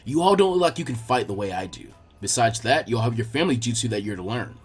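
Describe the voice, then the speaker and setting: A man voicing a calm, encouraging adult ninja teacher in a story reading, measured and reassuring. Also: mid-range voice, husky, faint music behind, pitched below the performer's own voice. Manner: measured, reassuring, laid-back. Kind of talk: fan fiction character dialogue.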